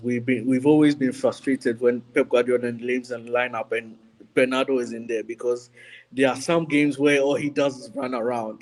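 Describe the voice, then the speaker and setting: A man's voice: medium pitch, darkish tone, rough recording. A man giving his views as a panelist on a football podcast, in conversational punditry. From Ghana.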